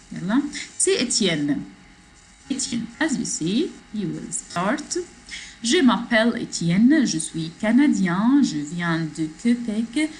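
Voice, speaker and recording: Slow, patient woman teaching French. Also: mid-range voice, a little mellow, a little hiss or hum, rough recording. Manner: switches between French and English, slow, repeating phrases for learners. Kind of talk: online class, reading a text and explaining it. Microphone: computer mic in a video class.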